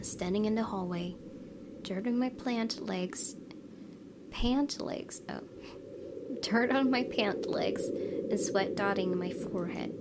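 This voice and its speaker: A woman hosting a gaming video, reading a visual novel aloud expressively and slipping into casual asides. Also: medium pitch. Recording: noisy background.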